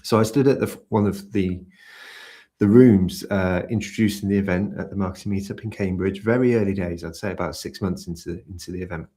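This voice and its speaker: Soft-spoken deep-voiced male guest. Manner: soft-spoken, gentle, unhurried. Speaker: community founder, podcast guest. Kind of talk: storytelling interview answer.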